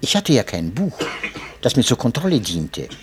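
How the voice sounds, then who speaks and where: A man reading a memoir aloud as narrator, in an expressive and measured way. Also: mid-range voice, background noise. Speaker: audiobook narrator.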